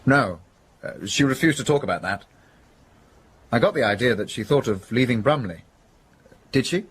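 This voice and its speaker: An actor playing a contrite, upper-class businessman in a period radio drama, speaking with polite, halting restraint. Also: medium pitch, expressive, slight background noise, close to an everyday voice. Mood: quiet, resigned.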